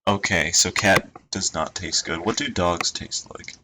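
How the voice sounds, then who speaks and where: A man streaming, chatting casually and reading posts aloud in a dry, monotone way. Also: sits low, measured pace. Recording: noisy home stream recording.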